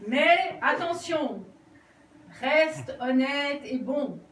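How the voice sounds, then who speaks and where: A woman acting as a kindly forest fairy who grants wishes, casting spells in a slow, solemn manner. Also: high-pitched, lively intonation, fairly bright tone, exaggerated voice. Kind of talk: amateur family stage play. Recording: echoey room, far from the mic, faint background noise.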